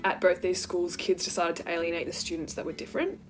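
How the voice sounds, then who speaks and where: A young woman, a university student, reading a personal account quickly with slight hesitations. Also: fairly low pitch, little pitch movement. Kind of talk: read-aloud personal account. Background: background music.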